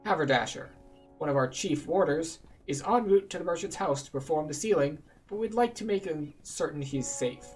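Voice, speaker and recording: A man's voice: on the high side, expressive, moderate pace, a little mellow, lightly acted. A courteous fantasy-game house agent, played by a man, recruits adventurers in formal, salesmanlike style. The delivery is businesslike, over faint music. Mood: courteous, persuasive.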